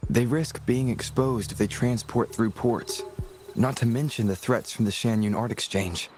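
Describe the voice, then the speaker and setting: An actor playing a calm, analytical investigator in a video game, measured and matter-of-fact. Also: male voice, mid-range voice, background noise, natural voice. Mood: focused, analytical. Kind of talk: video game cutscene acting.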